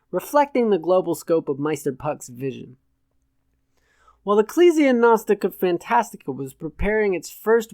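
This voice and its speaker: A man narrating satirical fiction from a script in a measured, literate way. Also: sits high, a little mellow, no echo. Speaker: audiobook narrator.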